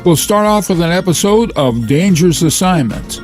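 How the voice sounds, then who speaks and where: A man's voice: high voice, expressive, moderate pace, faint music behind. A male host welcoming listeners and introducing the episodes in a friendly, plain way. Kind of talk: podcast introduction.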